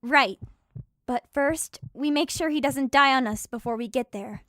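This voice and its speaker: A woman's voice: high voice, a little slow, a bit bright, no echo, studio quality. A woman playing a practical, caring and slightly wry female healer in a fantasy audio drama.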